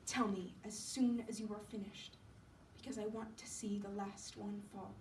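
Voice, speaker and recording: Frail young female invalid, played by a woman storyteller: soft, listless, resigned; distant, echoing stage recording. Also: mid-range voice, level tone, moderate pace, natural voice. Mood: weary. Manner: weary, faint, trailing off. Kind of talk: solo dramatic storytelling performance. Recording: echoey room, distant mic, background noise.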